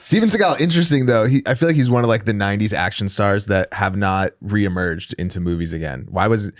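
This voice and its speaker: A man's voice: on the low side, quick pace. A man from New Jersey chatting casually in a group conversation.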